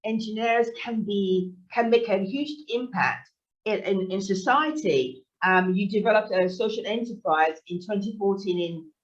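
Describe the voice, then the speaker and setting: A woman's voice: medium pitch, a little slow. A woman hosting a podcast, introducing and encouraging her guest with occasional fillers. She sits away from the mic, and the sound is dull and lo-fi. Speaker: podcast host. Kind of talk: podcast interview. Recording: distant, dull lo-fi audio.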